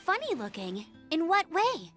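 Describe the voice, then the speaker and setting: A woman voicing a spirited, earnest young female scout knight in a video game, brisk and determined. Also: extremely high pitch, huge pitch swings, a bit bright, faint music behind, heavily acted. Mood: inquiring. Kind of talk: video game character acting.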